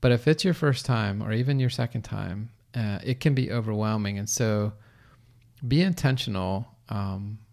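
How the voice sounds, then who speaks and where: A middle-aged man with a low, gravelly voice, co-hosting a podcast. He talks earnestly and haltingly, restarting sentences as he sums up his thoughts. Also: darkish tone. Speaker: podcast co-host. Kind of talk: casual chat.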